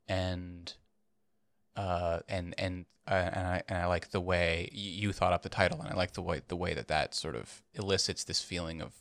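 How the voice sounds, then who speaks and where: Fairly low-voiced man in his thirties. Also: steady tone, fairly bright tone, dry recording. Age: 30s. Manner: halting, repeats words, agreeable. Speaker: podcast co-host. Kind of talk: casual conversational chat.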